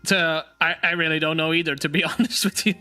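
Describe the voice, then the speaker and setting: A man hosting a casual chat stream, laughing often and talking in an animated, teasing way; English is not his first language. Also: on the high side, fast, soft background music. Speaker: streamer host.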